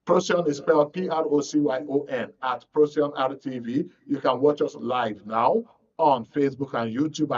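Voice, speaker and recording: A man's voice: mid-range voice, recorded from a distance, muffled recording. A man with a Nigerian accent hosting a live current affairs programme on radio.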